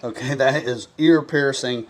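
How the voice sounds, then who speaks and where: A man's voice: medium pitch, steady tone, measured pace, very noisy. A man giving a casual, folksy and enthusiastic product review to camera.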